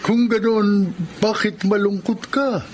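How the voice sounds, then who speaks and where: A man playing a kind, fatherly older employer in a Filipino radio drama, gently advising with a Filipino accent. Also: high voice, fairly even intonation, slow pace. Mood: kindly concern. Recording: clearly noisy radio drama track.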